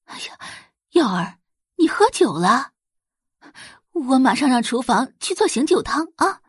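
A woman's voice: sits high, sing-song, a little slow, slightly rough, dry recording, studio quality, voice pushed up, heavily acted. A woman voicing a doting rich mother in an audio drama, fussy, theatrical and exaggerated in every reaction. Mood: fussing, worried. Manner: exaggerated, gushing, fretful.

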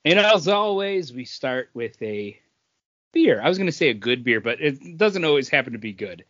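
A man's voice: medium pitch, sing-song, moderate pace, fairly bright tone, no echo. A man co-hosting a podcast in a casual, bantering conversational style. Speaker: podcast co-host. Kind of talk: casual chat. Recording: dull lo-fi dry close recording.

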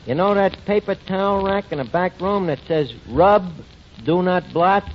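A high-pitched New York wiseguy barkeep. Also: male voice. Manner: slangy, wheedling, wisecracking. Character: fast-talking scheming male tavern manager, slangy wiseguy. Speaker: lead comic actor in a radio sitcom. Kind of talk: 1940s radio comedy acting. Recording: dull, noisy 1940s radio recording.

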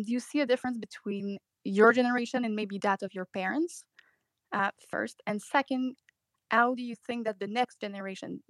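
A woman asking an interview guest questions in a conversational way, with short pauses between her phrases. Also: medium pitch, vocal fry, no echo.

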